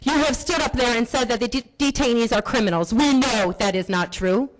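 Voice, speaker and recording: A woman speaking at a county board meeting, delivering an impassioned public comment in forceful, accusatory, repetitive rhetoric. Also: fairly high pitch, brisk.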